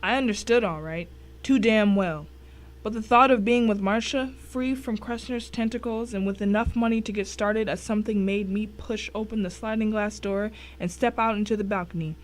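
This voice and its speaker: A woman's voice: medium pitch, normal speed, close to an everyday voice. A woman playing a grim, wry thriller narrator who recounts a dangerous ordeal in scripted first person.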